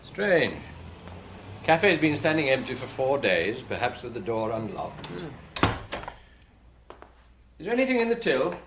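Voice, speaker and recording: Radio detective lead: male chief inspector, brisk, dry, shrewd, middle pitch with expressive swings. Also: noisy background. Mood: musing, wry. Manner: wry, brisk, thoughtful. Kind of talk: radio drama acting.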